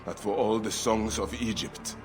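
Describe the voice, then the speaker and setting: A man's voice: on the low side, fairly even intonation, moderate pace, lightly acted. An actor playing a grieving Egyptian warrior father who leads a brotherhood in a video game, earnest and intense. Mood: solemn, rousing. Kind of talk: dramatic video game acting. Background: background music.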